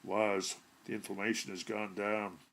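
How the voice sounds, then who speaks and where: A man voicing an irritable, solid middle-aged citizen in a short story reading, curt and grumbling, with a gravelly, husky voice. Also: fairly low pitch, level tone, measured pace, fairly bright tone.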